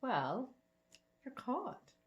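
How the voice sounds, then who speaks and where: A spry old matchmaking lady from a storybook, acted out by a woman reader. She is genteel and teasing, with a knowing way of talking and a pitch that lilts widely up and down. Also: wildly swooping pitch, extremely slow, dull audio, full character voice. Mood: gracious, knowing.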